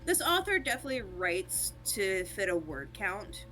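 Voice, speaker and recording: A woman's voice: mid-range voice, sing-song, moderate pace, sharp tone, faint music behind. A woman streaming a game and commenting casually among friends, in a loose conversational way.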